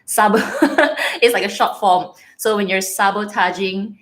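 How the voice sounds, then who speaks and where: A woman's voice: medium pitch, a little slow, fairly bright tone. A young woman from Malaysia with a Malaysian English accent and bits of Singlish, chatting casually on a livestream, laughing often and reading out viewer comments. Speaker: livestream host.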